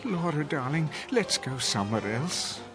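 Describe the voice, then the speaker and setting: An actor in a radio drama playing a bewildered older husband who pleads with desperate earnestness, with music behind him. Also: male voice, a little high, sing-song, normal speed. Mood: earnest pleading. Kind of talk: audio drama acting.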